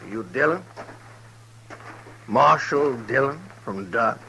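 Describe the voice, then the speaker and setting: A man's voice: medium pitch, expressive, takes it slowly, vocal fry, pushed and bright, exaggerated voice. A man with an American accent playing a menacing, curt outlaw gunman in an old radio western. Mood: suspicious, cold. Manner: curt, commanding. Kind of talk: 1950s radio western acting. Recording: slightly noisy vintage radio recording.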